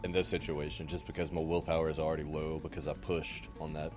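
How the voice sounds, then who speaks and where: A man's voice: a bass voice, level tone, fast talker, over music. A man playing a tabletop role-playing game, chatting casually about rules and his next move.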